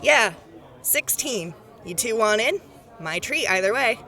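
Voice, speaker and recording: A woman's voice: fairly high pitch, animated, a little slow, bright, forceful tone, full character voice. A woman voicing a cheerful, gossipy clerk going over paperwork in an audio drama. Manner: bubbly and gossipy.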